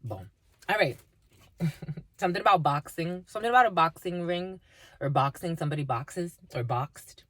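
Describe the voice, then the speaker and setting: A woman whose first language is Spanish, giving a halting intuitive spiritual reading to viewers, with many fillers and repeated phrases. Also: fairly low pitch, lively intonation, no room sound. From Dominican family, Spanish first language. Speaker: spiritual reader.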